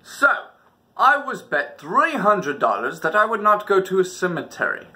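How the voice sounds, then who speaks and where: A man's voice: high voice, big pitch swings, moderate pace, pushed and bright. A man hosting a storytime, telling personal tales in a casual, dramatic way and acting out the moments. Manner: dramatic, casual, acts out dialogue and sounds. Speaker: storytelling channel host.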